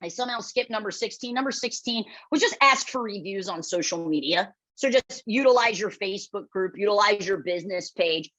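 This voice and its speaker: A woman with a loud voice presenting a webinar, blunt and direct, often checking in with the audience as she coaches. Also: mid-range voice, fairly quick, hardly any pauses. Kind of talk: instructional webinar talk. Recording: dull lo-fi webinar audio.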